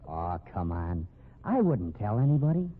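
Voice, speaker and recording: 1950s radio detective type: determined, clipped, animated delivery, narrow old-radio sound. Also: male voice, deep voice, normal speed. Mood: coaxing. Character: brisk, determined male amateur sleuth. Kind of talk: 1950s radio drama acting. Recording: band-limited old radio recording, faint noise.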